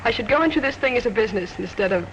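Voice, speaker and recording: A woman's voice: a little high, animated, rapid-fire, close to an everyday voice. An actress playing a woman who reads fortunes from cards, teasing and ominous, in 1940s radio acting. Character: woman reading cards, cryptic fortune-teller. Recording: dull old radio recording with faint hiss.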